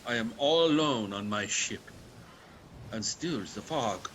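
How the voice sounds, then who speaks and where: A man acts out a radio-play sea captain, slow and forceful. He is grim and dutiful with fear underneath, speaking in terse log entries over faint noise. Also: medium pitch, lively intonation, poor audio. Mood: despairing, resolute. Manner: terse, clipped phrasing. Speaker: actor.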